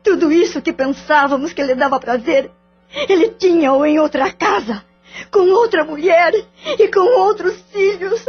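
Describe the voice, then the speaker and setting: A woman's voice: very high voice, unhurried. An actress playing a betrayed wife and mother, speaking through tears in a radio drama. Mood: tearful. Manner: tearful, pleading, wounded. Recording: dull lo-fi audio with faint music.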